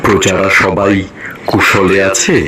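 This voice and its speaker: A man playing an aging, fatherly king in a cartoon, speaking in a measured, stately manner. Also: deep voice, flat delivery. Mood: stately, thoughtful. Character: aging king, calm, deliberate, fatherly ruler. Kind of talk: cartoon story voice acting.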